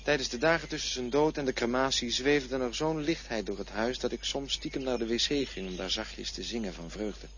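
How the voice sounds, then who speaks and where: A man's voice: medium pitch, normal speed, hardly any pauses, fairly bright tone, dull audio, a little hiss or hum, close to an everyday voice. An actor playing a grieving son who tenderly recalls his late father in a radio play. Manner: tender, reflective storytelling.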